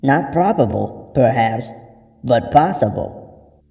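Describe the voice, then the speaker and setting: A man voicing a foul-mouthed, murderous talking tooth in a horror story, with a gravelly voice and a slow, taunting delivery. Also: lively intonation, warm tone. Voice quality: low, gravelly. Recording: long cavernous reverb on the voice. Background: music.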